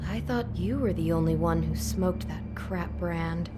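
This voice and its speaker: An actress playing an anxious, sharp-tongued young female front desk worker in a video game, speaking bluntly and emotionally. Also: on the low side, unhurried, close to an everyday voice. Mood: uneasy, questioning. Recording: music bed behind voice.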